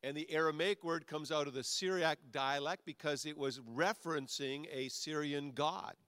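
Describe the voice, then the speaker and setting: A man's voice: a little high, normal speed, fairly bright tone, clean tone, no echo. A male pastor preaching a sermon in a conversational way that builds to emphatic peaks.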